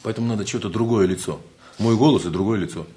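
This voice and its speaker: A man's voice: lowish voice, fast, dark tone. A man from Siberia with a Siberian Russian accent, giving an informal spiritual lecture in a joking, rambling way and laughing often.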